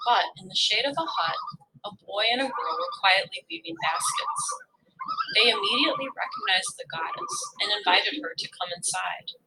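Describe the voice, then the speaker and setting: A woman reading a legend aloud in an expressive storytelling style. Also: a little high, normal speed, background noise. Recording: music behind the voice. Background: music.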